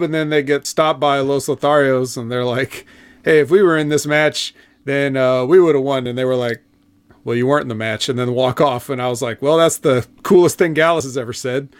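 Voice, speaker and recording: Guy hosting a wrestling podcast, slightly high voice, keeps the chat moving. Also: a little fast. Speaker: co-host steering a wrestling review show. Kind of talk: casual chat, show moderating.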